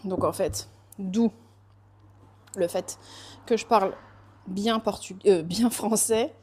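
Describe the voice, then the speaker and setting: A woman's voice: medium pitch, slow pace, dark tone, slight background noise. A woman raised in France, chatting casually with live viewers while she bakes, greeting them by name and laughing often.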